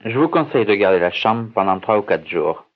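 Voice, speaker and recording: A man playing a calm doctor examining a patient in a scripted language-course dialogue, speaking in a measured, clear way, each line said twice. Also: fairly low pitch. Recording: tinny, narrow-band old course recording, slightly distant.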